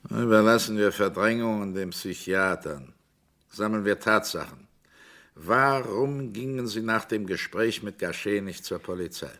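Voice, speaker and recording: An actor playing a brisk, matter-of-fact investigator in a crime radio drama. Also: male voice, lowish voice, fairly bright tone. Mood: businesslike.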